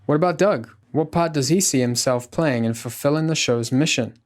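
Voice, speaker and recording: A man's voice: fairly high pitch, moderate pace. A man reading scripted narration as the host of a podcast.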